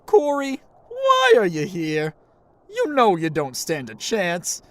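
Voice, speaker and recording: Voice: mid-range voice, wildly swooping pitch, takes it slowly, fairly bright tone, no echo, full character voice. Performer as cocky, swooping young commander. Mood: mocking. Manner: theatrical, condescending. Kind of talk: amateur animated action voice acting.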